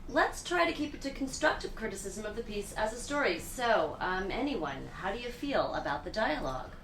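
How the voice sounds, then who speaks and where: A woman acting as a flustered, officious chair of an editors' meeting in a satirical radio play, halting and managerial. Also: mid-range voice, big pitch swings, a bit bright. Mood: diplomatic, uneasy. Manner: halting, managerial, throat-clearing. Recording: distant roomy echo, faint noise.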